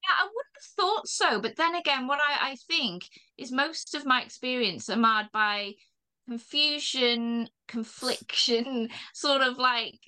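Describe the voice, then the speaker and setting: Bright-voiced North Welsh woman. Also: high-pitched, expressive, unhurried, clear, resonant tone, low-quality recording. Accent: North Wales. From Wales. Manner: thoughtful, laughs softly, many fillers. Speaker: podcast guest, writer. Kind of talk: reflective conversation.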